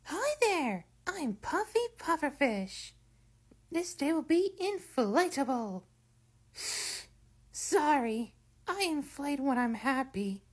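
A woman plays a round, bubbly cartoon pufferfish with a high, lilting voice. She is cutesy and apologetic, with an anxious streak. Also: very animated, slow pace, full character voice. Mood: cheerful. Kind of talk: scripted character voice lines.

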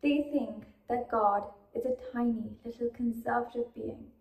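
Young female student, creaky voice, deliberate speech recital, roomy acoustic. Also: on the high side, narrow, tinny audio. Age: teenage to young adult. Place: indoor room. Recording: roomy echo.

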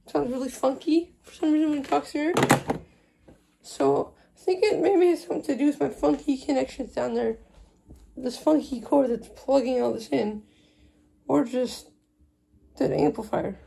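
A woman's voice: sits high, moderate pace, creaky, long pauses, warm tone. A woman talking casually off the cuff as she explains a hands-on tech project, recorded indoors on a handheld phone camera. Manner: informal, explaining while handling gear. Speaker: video host showing a phone system project. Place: small indoor room with wooden floor. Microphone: handheld phone camera, moving around.

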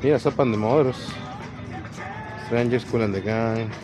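A man in his thirties rambles through a casual vlog as he browses an outdoor swap meet. His voice sits in an ordinary middle pitch, picked up by a body-worn action camera. Music plays clearly in the background. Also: a little slow. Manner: chatty, rambling, lists items aloud. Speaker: vlogger. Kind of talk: casual walk-and-talk vlog. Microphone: action camera on body or handheld.

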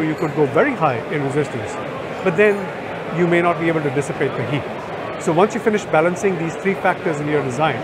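Man explaining amid loud expo noise. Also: on the high side. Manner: explanatory, technical. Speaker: company representative. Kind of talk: interview answer. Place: indoor trade show booth. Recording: loud trade show noise behind.

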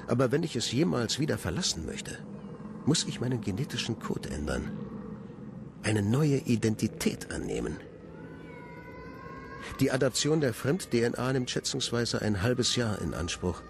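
A man's voice: mid-range voice, very animated, moderate pace, vocal fry, pauses often. An actor in a radio play playing a secretive, resolute male scientist in a grave, formal monologue. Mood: grave, determined. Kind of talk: German radio play acting. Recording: noticeable noise behind the voice.

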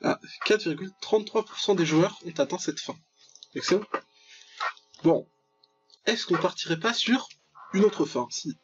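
High-voiced young man, jokey game commentary. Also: unhurried, long pauses. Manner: jokey, mock-dramatic, mutters asides and reads text aloud. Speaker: gaming video host. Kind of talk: casual playthrough commentary of a visual novel. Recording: dull lo-fi voice track over clear music.

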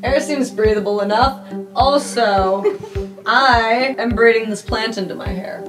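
A woman's voice: a little high, unhurried, far from the mic, rough recording. A woman improvising casual in-character chat as a player in a tabletop game. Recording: music behind the voice.

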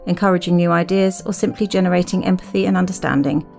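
A woman hosting a podcast, reading scripted narration and putting questions in a measured, clearly phrased way. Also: on the low side, steady tone, darkish tone, faint music behind. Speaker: podcast host, interviewer.

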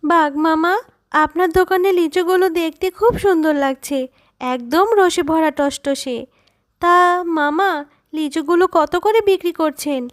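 A woman voicing a poor, worried, gentle widowed fox mother who pleads and explains patiently in a children's cartoon. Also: very high-pitched, normal speed, dry recording, clean studio sound. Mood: apologetic, coaxing. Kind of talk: Bengali children's cartoon dubbing.

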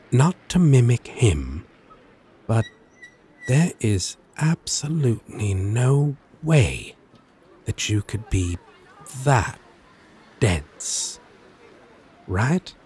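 A male actor voices a lovesick demon in an intimate ASMR roleplay. His low voice moves very slowly and hesitantly, yet swings expressively as he flirts and puts himself down. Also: darkish tone, slight background noise, heavily acted. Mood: exasperated, lovestruck. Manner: halting, hesitant, with long pauses.

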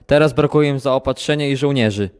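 A man's voice: mid-range voice, a little fast, smooth, lightly acted. A man acting a terse, commanding army captain. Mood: alert, official. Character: alert, commanding male army captain giving orders. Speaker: amateur actor in a school audio drama. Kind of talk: scripted war radio drama dialogue.

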